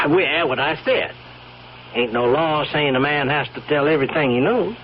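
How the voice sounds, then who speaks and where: Sly folksy blackmailing stable hand, swooping delivery. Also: male voice, fairly high pitch, lively intonation, a little slow. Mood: sly, insinuating. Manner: folksy, rambling, insinuating, chuckling drawl of hesitations. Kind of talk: old radio drama acting. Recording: dull, hissy old radio recording.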